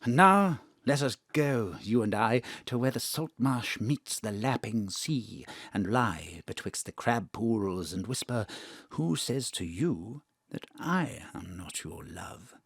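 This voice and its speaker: A man's voice: lowish voice, animated, slow pace, vocal fry, fairly bright tone, slightly rough, dry recording, clean studio sound, heavily acted. A man playing a genteel secret agent in an audio drama, with an understated, old-fashioned, poetic murmur. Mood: tender, wistful. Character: Peter, genteel male secret agent, dry and wistfully poetic.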